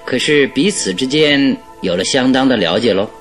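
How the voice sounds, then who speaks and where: An actor in a radio drama playing a genial, self-assured detective with a teasing, politely probing manner. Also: male voice, mid-range voice, expressive, moderate pace, faint music behind, close to an everyday voice. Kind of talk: Chinese radio drama acting.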